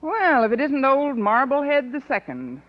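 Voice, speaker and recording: A woman's voice: sits high, very animated, moderate pace. A woman playing a sardonic, dry English teacher in a 1950s radio sitcom. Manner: dry, wisecracking. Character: wry, sardonic female high school English teacher. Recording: dull, noisy 1950s radio recording.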